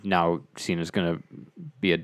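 A man's voice: low voice, little pitch movement, normal speed, clean tone. A man co-hosting a casual wrestling podcast, asking questions in a dry, wry way. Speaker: podcast co-host.

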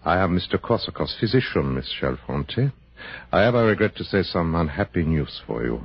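A man voicing a grave, formal German doctor with a measured, solemn delivery in a radio drama. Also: low voice, big pitch swings, gravelly, husky, no echo, voice pushed down, exaggerated voice. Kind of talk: 1960s radio drama acting. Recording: muffled old radio broadcast.

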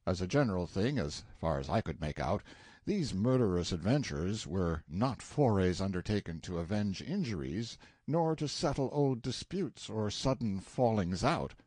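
Male audiobook narrator, dark warm tone, husky edge, animated intonation, measured literary storytelling, dry recording. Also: lowish voice, dry recording. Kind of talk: scripted literary narration of a novel.

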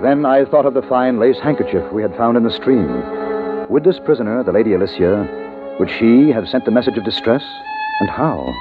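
Deep-voiced, wistful radio drama narrator played by a man. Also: lightly acted. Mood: tender wonder. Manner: wistful, literary storytelling. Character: grown man wistfully recalling his boyhood adventure. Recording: tinny old radio recording, loud music behind. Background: loud music.

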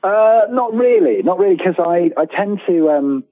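A man's voice: sits high, expressive, normal speed. A middle-aged British man chatting casually as an interview guest, self-deprecating, often repeating words as he tells anecdotes. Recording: thin phone-like line, roomy echo.

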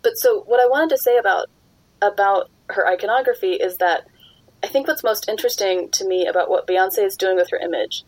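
A young woman hosting a podcast, chatty and quick to laugh as she steers the talk back on topic. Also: medium pitch, darkish tone, no room sound, low-quality recording. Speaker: podcast host. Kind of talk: casual chat.

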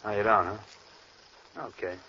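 An actor playing a brash, impatient young gangster in an old radio crime drama, talking in slangy, grumbling tough talk. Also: male voice, fairly low pitch, little pitch movement, takes it slowly, vocal fry, pushed and bright, heavily acted. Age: young adult. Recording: noisy, muffled vintage radio recording.

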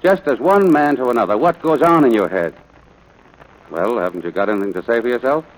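Man acting as stern ranch father, rapid delivery, mid-pitched, scolding, sarcastic, old radio drama. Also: animated, lightly acted, poor audio. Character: stern, exasperated rancher father, gruff with his son.

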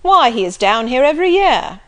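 Woman reading as a loud jovial squire, middle-aged man, raised hearty voice, emphatic. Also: sits high, big pitch swings, normal speed, pitched above the performer's own voice.

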